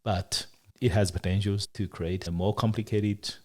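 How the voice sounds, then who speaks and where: A man whose native language is Chinese talks through a screen-recorded tutorial in a somewhat low voice. He explains each step plainly, pausing often and correcting himself mid-phrase. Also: steady tone, a little mellow. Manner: explanatory, with frequent pauses and self-corrections. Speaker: developer giving a product demo.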